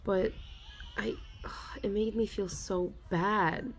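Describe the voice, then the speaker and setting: A young woman in a soft ASMR role-play, confiding hesitantly as an anxious office worker, sighing and stopping to restart her sentences. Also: mid-range voice, fairly even intonation, slight background noise. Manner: hesitant and self-deprecating, with frequent sighs and halting restarts.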